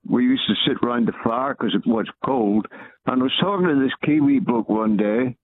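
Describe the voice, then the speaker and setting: A man's voice: medium pitch, moderate pace, no echo. A man with a Northern Irish accent calling in to a radio show, chatting easily and telling a story. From Northern Ireland. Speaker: caller. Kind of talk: casual phone-in chat. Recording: tinny phone line.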